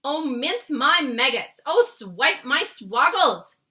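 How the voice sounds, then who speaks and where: A woman's take on an excitable, exclamatory storybook giant. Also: high-pitched, takes it slowly, voice pushed up, heavily acted. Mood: anguished fury. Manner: quaint muddled grammar with invented nonsense words; exclamatory, emphatic delivery. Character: excitable big friendly giant, male, kindly, easily distressed. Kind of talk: children's storybook character voice.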